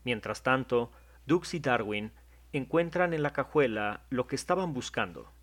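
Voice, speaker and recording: A man narrating an audio drama in a measured, descriptive way. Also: mid-range voice, lively intonation.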